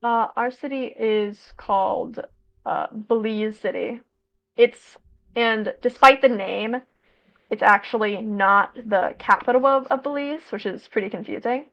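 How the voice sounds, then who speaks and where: American teen girl, kinda gravelly voice, rambles w lots of "like", sounds muffled lo-fi. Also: on the high side, measured pace. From United States. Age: teens. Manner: rambling, lots of fillers and self-corrections. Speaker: student guest. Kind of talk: casual conversation.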